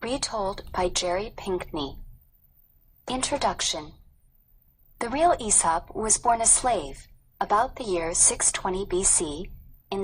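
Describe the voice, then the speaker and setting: A woman reading a scripted storybook narration in a measured, careful manner. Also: medium pitch, slight background noise, low-quality recording. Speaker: audiobook narrator.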